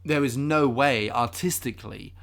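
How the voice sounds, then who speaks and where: Man acting as earnest fanboy podcast co-host, mid-pitched, mock-serious, hesitant, blithely justifying. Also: normal speed, vocal fry, a bit bright. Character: earnest fanboy male podcast host excusing deadly film disasters.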